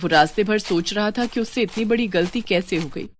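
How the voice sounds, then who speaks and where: A woman's voice: medium pitch, a little fast, a little hiss or hum. A woman narrating a scripted story as its storyteller.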